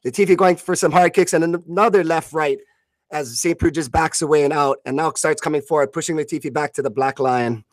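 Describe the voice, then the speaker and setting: A man calls a fight live in a fairly high male voice, close and dry on the recording. He narrates blow by blow as a radio commentator, haltingly, often repeating words and fixing his phrases as he goes. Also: no room sound. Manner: halting, repeats and corrects himself mid-phrase.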